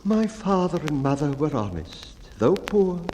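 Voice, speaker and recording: A man voicing a timid, mournful baker in a dramatic poetry reading, with a tearful, drawn-out lament. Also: on the high side, very animated, dark tone, big-room sound, pitched above the performer's own voice. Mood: plaintive.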